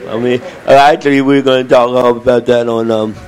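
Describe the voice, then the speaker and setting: Man with a booming, mellow voice. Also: steady tone, brisk, a little hiss or hum, poor audio. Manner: repeats words and restarts phrases. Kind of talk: group discussion.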